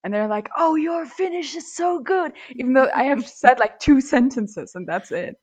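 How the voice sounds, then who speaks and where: Young woman from germany, student podcast guest, chatty and animated storytelling, casual. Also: high voice, moderate pace, slightly rough. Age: young adult. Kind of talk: casual chat.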